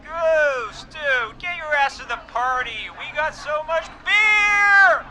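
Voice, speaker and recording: Very high-pitched rowdy young frat boy. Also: expressive, full character voice. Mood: boisterous, insistent. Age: young adult. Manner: boisterous slangy drawn-out party-boy talk. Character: rowdy, beer-loving young male fraternity party boy. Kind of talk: video game voice acting. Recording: noisy call with loud party crowd.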